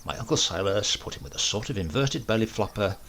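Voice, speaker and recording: A man giving an expressive, dramatic narration of a comic short story, relishing the phrasing. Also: on the low side, fast, very noisy. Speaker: audiobook reader.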